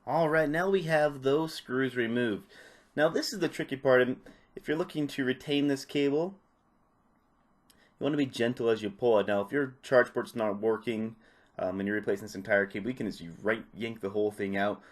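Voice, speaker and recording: A man's voice: medium pitch, steady tone, moderate pace. A man presenting a repair tutorial, giving casual, informal step-by-step hands-on instructions. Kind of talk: casual hands-on how-to narration.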